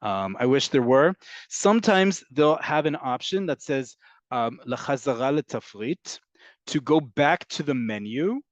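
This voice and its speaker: A man's voice: medium pitch, animated, a little slow, no room sound. Man, patient explanatory teacher, rephrases often, dry close sound, slightly muffled. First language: English. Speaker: Hebrew teacher. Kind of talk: online class, answering questions.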